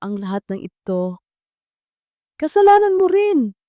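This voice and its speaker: A woman's voice: high voice, big pitch swings, a little slow, warm tone, dry recording, voice pushed up. A Filipino woman voicing a bitter, envious young female villain in a dramatic story, emotional and accusing. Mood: bitter, anguished.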